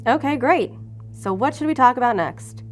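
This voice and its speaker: A woman's voice: high voice, animated, normal speed, faint music behind. An actress playing a guarded, sarcastic female agent in an audio drama, speaking bluntly and casually in a naturalistic way. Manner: sardonic, deflecting, casual and blunt.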